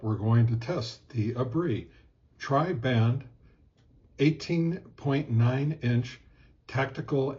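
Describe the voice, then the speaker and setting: A man narrates a casual product test as host, in a halting, plain-spoken, step-by-step way. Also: mid-range voice, a little mellow. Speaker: host reviewing radio gear.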